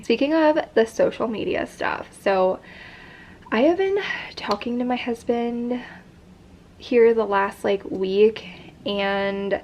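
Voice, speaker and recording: A young woman vlogging in a casual chat, congested and stuffy, with a sore throat, talking in a rambling way full of fillers. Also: medium pitch. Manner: chatty, many fillers like "like" and "um".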